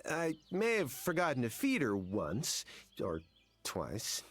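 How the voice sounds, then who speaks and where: A man's voice: mid-range voice, huge pitch swings, slow pace, a bit bright, faint music behind, heavily acted. An actor voicing a worried, sheepish male cattle farmer in video game dialogue. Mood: sheepish worry.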